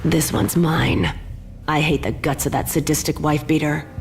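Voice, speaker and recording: A woman's voice: deep voice, steady tone, normal speed, creaky. An actress playing a cool, dry-spoken female professional killer. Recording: echoey with faint music.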